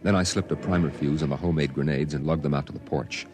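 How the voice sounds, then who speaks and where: A sober grown man recalling his boyhood, in a 1950s radio drama. His very low voice tells the story in a steady, measured way, with music playing clearly behind him. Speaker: narrator.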